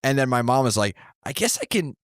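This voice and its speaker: Rambling man in his thirties with a lively voice. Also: medium pitch, brisk, dry recording, clean studio sound. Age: 30s. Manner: rambling, lots of fillers and restarts. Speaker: comedian guest. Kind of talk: casual chat. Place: New York City.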